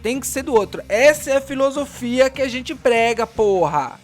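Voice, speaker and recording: A man's voice: extremely high pitch, lively intonation, normal speed, soft background music, pitched above the performer's own voice. A man voicing a zealous cathedral guard, emphatic and foul-mouthed as he preaches in a tabletop game audio drama. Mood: insistent, fanatical. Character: zealous male cathedral guard, preaching crude doctrine to a novice.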